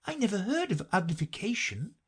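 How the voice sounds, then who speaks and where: A polite, inquisitive young girl asks her questions, as a storybook reader puts her on. The voice is pitched well above the narration and stays soft and husky. She keeps a careful, well-mannered tone. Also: very animated, brisk. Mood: curious.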